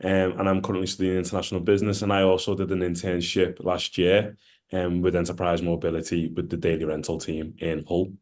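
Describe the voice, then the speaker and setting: A man's voice: deep voice, level tone, darkish tone. A young man with a Scouse accent, a student, introducing himself casually. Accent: Liverpool (Scouse). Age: young adult. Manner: quick, casual. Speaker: student panelist.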